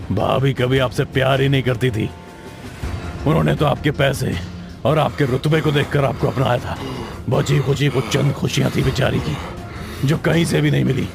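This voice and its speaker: Horror story role: wronged young man's ghost, accusing and menacing, male narrator acting, pitched up, music loud behind. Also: gravelly. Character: vengeful spirit of betrayed younger brother inside madman.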